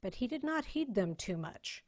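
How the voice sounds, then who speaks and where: A woman narrating a scripted dramatic story in a measured, storytelling manner. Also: fairly high pitch, sing-song. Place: online video call reading.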